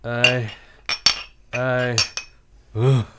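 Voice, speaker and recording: An actor playing a wry, weary private detective in an old-time radio drama, dry and terse. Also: male voice, mid-range voice, level tone, drawn-out words, heavy background noise. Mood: drugged, groggy. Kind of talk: old-time radio drama, first-person noir narration.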